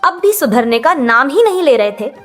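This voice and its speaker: A woman's voice: high-pitched, very animated, moderate pace, faint music behind. A woman giving scripted narration of a children's moral story.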